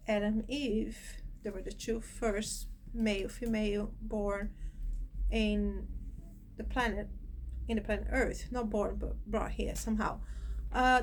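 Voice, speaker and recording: Host, female, 40s, Brazilian Portuguese accent, second-language English, slightly high voice, hesitant unscripted delivery, light background hiss. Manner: hesitant, searching for words, many fillers. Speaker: channel host. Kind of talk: personal talk to camera.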